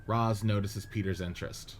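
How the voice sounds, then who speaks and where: A man narrating the stage directions of a script reading in a steady, matter-of-fact way. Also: fairly low pitch, normal speed.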